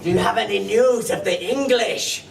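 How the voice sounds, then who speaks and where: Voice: medium pitch, normal speed, vocal fry, reverberant, distant mic, a little hiss or hum. A player voicing a boisterous male Scottish warrior chief in a put-on Scottish accent, rowdy and often laughing.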